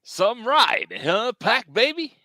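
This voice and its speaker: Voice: medium pitch, sing-song, takes it slowly, sharp tone, no room sound, clean studio sound, exaggerated voice. A performer voicing a brash, boastful cartoon dad creature in an exaggerated, exclaiming table read. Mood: jovial.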